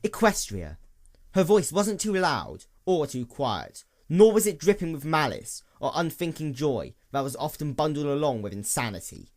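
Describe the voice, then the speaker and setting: A man reading scripted story narration in an expressive, descriptive storytelling manner. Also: a little high, normal speed, few pauses. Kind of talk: dramatic scripted narration of fan fiction.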